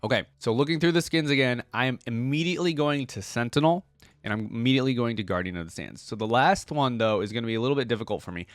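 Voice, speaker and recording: A man's voice: mid-range voice, lively intonation, fast, dry recording. A man with a slightly dry, close sound and a mid-range voice gives casual reaction commentary as a video host. He speaks informally and slangily, thinking aloud as he goes.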